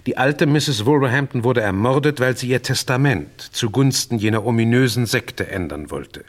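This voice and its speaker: An actor playing a shrewd, courteous and pompous detective in a radio crime drama, sprinkling French phrases into deliberate speech. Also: male voice, medium pitch. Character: shrewd, theatrical Francophone male detective, self-assured and courteous.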